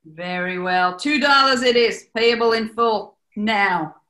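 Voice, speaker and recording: Woman acting elderly city landlady, sardonic haggler, sharp forceful voice, slow delivery, 1940s radio comedy. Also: fairly high pitch. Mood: sardonic haggling. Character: brash old New York landlady hawking shabby rooms. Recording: echoey room.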